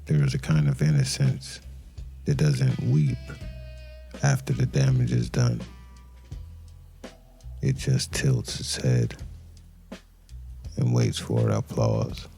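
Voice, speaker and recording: An actor playing a weary, brooding detective who narrates his case in an audio crime drama with somber, deliberate pauses. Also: rumbling low, little pitch movement, creaky, mellow, faint music behind, heavily acted. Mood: grave, brooding.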